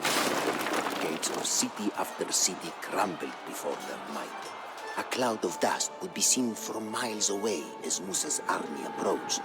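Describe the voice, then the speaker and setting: Solemn Berber chronicler, very low voice, measured dramatic game narration, proud and formal, loud music behind. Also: male voice, low-quality recording. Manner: grave, measured, formal storytelling. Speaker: campaign story narrator. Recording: studio voice-over with music behind.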